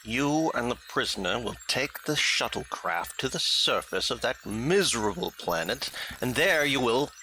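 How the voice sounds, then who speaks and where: A man's voice: medium pitch, big pitch swings, normal speed, hardly any pauses, sharp tone, a little hiss or hum, poor audio. A man playing a stern, exasperated alien villain commander in an audio drama, imperious and contemptuous.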